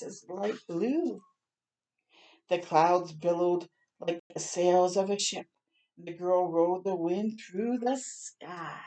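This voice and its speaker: A woman reading a children's picture book aloud expressively, pausing to chat and ask young listeners questions. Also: mid-range voice. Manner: animated, asks the listeners questions.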